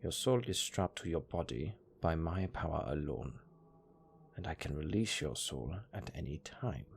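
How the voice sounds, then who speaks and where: Man acting a deep, gravelly, deadpan German-accented necromancer. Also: slight background noise, exaggerated voice. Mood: commanding, coolly menacing. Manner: deadpan, condescending, unhurried. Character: cold, dryly matter-of-fact male necromancer master. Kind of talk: audio roleplay acting.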